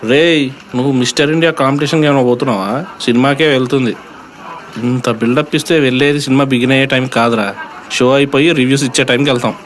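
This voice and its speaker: A man's voice: mid-range voice, steady tone, very fast, a little hiss or hum, close to an everyday voice. An actor playing a sarcastic young college student who teases and mocks his friend in a comedy audio drama. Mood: mocking, weary. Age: young adult. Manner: dry, mocking banter. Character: sarcastic, teasing young male college sidekick.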